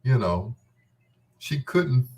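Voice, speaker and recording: A man's voice: medium pitch, very animated, extremely slow, a little mellow. A man hosting a talk show with a casual, unscripted monologue, gossipy and emphatic, often repeating his phrases. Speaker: host of a bedtime talk show.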